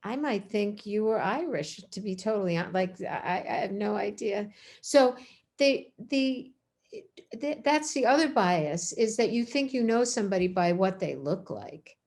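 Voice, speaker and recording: A woman's voice: mid-range voice, animated, a little slow. A woman speaking as a panelist on a video call, chatty, breaking off and restarting her thoughts. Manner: warm-hearted, chatty, self-interrupting. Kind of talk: casual panel discussion.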